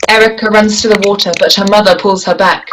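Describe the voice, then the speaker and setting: A woman serves as narrator, reading out scene descriptions from a script. Her voice sits at a middle pitch. There is faint noise in the recording. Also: steady tone, fast talker. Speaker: narrator reading stage directions.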